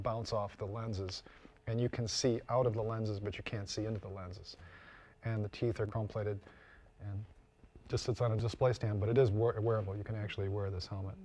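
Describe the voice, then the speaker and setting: A man's voice: fairly low pitch, darkish tone. A man being interviewed as a costume and prop maker, explaining informally and often repeating and rephrasing himself.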